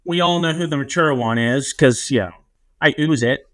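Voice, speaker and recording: A man's voice: a little high, moderate pace, fairly bright tone. A man co-hosting a podcast in casual chat, teasing with wry humor. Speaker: podcast co-host.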